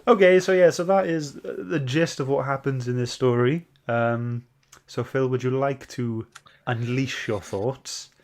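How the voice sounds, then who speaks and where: A man hosting a casual live-stream chat, speaking in a halting, hesitant way. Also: medium pitch, darkish tone. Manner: hesitant, halting when summarizing. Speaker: host of a comic book club show.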